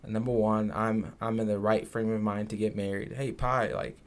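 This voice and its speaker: Earnest low-voiced man from Zimbabwe. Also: level tone, moderate pace, clean tone. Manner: earnest storyteller, many "you know" asides. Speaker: podcast guest. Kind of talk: casual conversation.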